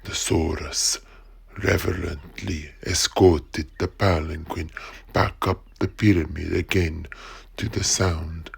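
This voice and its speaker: Man acting a very husky, gravelly low-voiced storyteller. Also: fairly even intonation, slow pace, heavily acted. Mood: grave, dramatic. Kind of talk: dramatic audio play narration.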